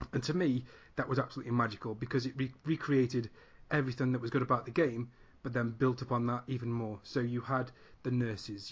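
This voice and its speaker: British male panelist, eager, mid-pitch, lo-fi. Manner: enthusiastic, builds up lists. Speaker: panel regular. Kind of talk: casual opinion chat. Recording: dull lo-fi audio.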